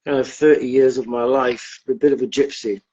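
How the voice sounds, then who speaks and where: A man's voice: mid-range voice, fairly even intonation, brisk, mellow. A middle-aged man from Manchester, England, giving casual interview answers as a guest over a dull live-stream connection. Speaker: guest, digital artist.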